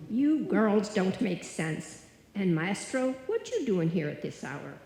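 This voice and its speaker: A woman's voice: medium pitch, sing-song, takes it slowly, darkish tone. Woman acting a huffy Irish landlady, echoey hall. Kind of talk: live old-time radio comedy acting. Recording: echoey hall, live stage performance.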